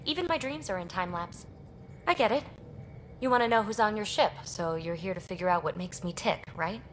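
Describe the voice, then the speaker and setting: A woman's voice: mid-range voice, lively intonation, a little hiss or hum, natural voice. A woman voicing a breezy young alien scavenger. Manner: breezy, teasing, fast-thinking. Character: restless young female alien scavenger, quick-witted. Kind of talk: video game cutscene dialogue.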